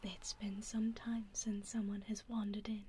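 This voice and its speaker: Woman acting a whispering, slow British vampire lady. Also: medium pitch, little pitch movement, a little hiss or hum, natural voice. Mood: gentle. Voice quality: breathy whisper. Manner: hushed, unhurried, intimate. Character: centuries-old vampire lady, aloof yet quietly caring. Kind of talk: ASMR roleplay, whispered. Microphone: close binaural microphone.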